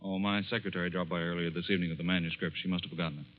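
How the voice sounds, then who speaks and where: An actor playing a skeptical, irritated editor in a 1940s radio suspense drama, brusque and dismissive. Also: male voice, deep voice, level tone, rapid-fire, close to an everyday voice. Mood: irritated, defensive. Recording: muffled, hissy, reverberant 1940s radio broadcast.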